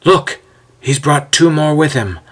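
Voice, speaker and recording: A man's voice: fairly high pitch, lively intonation, a little slow, fairly bright tone, pitched above the performer's own voice. A man voicing an irritated, earnest onlooker in an audio storybook. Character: irritated onlooker among a group of men.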